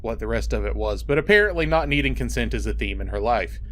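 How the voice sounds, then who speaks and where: A man's voice: medium pitch, expressive, quick pace, a bit bright. A man reading a horror story aloud as a host, in an informal way that makes room for casual, sweary asides.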